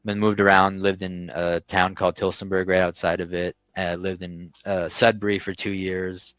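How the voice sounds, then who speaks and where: A man's voice: low-pitched, level tone, moderate pace, fairly bright tone, clean tone, dry recording. A young man, a guest on a podcast, talking casually about his business and life, with many restarts and fillers. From Canada. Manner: talkative, frequent restarts and fillers. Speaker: guest, founder of a restaurant tech company.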